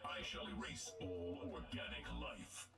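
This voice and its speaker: A man's voice: sits low, a little slow. A man playing a cold, arrogant robot supervillain in a video game, speaking with formal disdain through a synthetic filter. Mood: grandiose menace. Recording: loud music behind the game voice.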